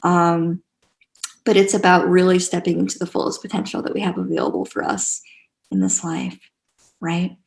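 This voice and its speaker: A woman teaching a spiritual class online in a casual, gentle and encouraging way, repeating phrases for emphasis, with a creaky voice. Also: lowish voice, moderate pace. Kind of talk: casual guided teaching to a live online group.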